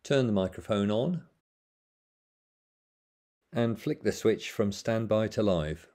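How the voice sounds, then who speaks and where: A man's voice: lowish voice, lively intonation, takes it slowly, a little mellow. A man narrating a plain, methodical how-to voice-over, giving step-by-step instructions with the odd small slip.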